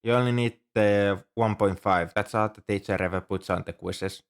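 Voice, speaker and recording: A man plays a shy, bookish young student librarian in an ASMR roleplay. His voice is on the low side, and he talks hesitantly, with earnest helpfulness and a readiness to apologize. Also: steady tone, moderate pace, smooth, close to an everyday voice. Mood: patient, helpful. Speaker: amateur audio roleplay performer. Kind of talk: ASMR roleplay, scripted one-sided dialogue.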